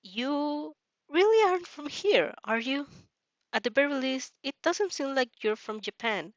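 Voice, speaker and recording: A woman's voice: high-pitched, lively intonation, unhurried, no room sound, voice pushed up. A dry, dull recording of a woman putting on a bewildered teenage boy in a read-aloud story. He is shy and concerned, hesitating and stammering as he talks. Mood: puzzled, concerned.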